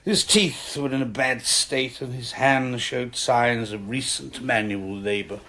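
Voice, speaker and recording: A man's voice: medium pitch, a little slow, bright, forceful tone. A British man playing an authoritative, self-assured surgeon in a radio drama, speaking formally and precisely.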